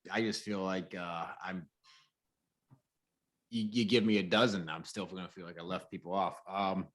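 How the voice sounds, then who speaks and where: Very deep-voiced man, earnest and halting. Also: steady tone. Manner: earnest, halting, with many fillers. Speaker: podcast co-host. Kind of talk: casual chat.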